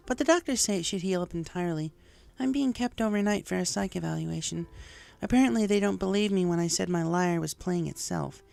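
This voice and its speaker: Woman putting on a calm storybook pony mare, high voice, soft-spoken, earnest, music behind. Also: gravelly, close to an everyday voice. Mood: rueful, friendly. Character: calm, gently cautioning mare pony recovering from injury. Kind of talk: fan fiction character dialogue.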